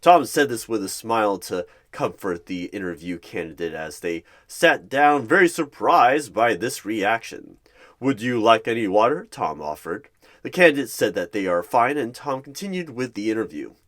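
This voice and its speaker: A man narrating a roleplay story in the third person, with a deliberate, halting delivery. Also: lowish voice, big pitch swings, fairly bright tone.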